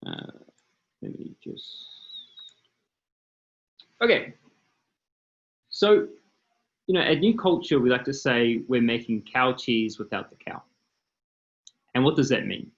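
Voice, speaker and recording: Kiwi-accented founder, explanatory panel talk, slightly dull call audio. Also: male voice, mid-range voice, takes it slowly, gravelly, long pauses. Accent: New Zealand. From New Zealand. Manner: explanatory, lots of filler ums and uhs. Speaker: company co-founder and CEO, panelist. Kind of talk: presentation on a video call panel. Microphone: computer mic on a video call.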